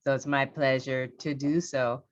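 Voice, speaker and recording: A middle-aged woman from Los Angeles, a judge, telling her career story on a panel in a candid, lively way. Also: a bass voice, unhurried, muffled recording.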